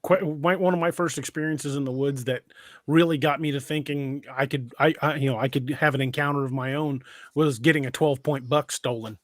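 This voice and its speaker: A man's voice: mid-range voice, steady tone, a little fast, no echo, clean studio sound. A man chatting casually as a podcast co-host, joking and sometimes stumbling over his words. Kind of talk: casual conversational discussion.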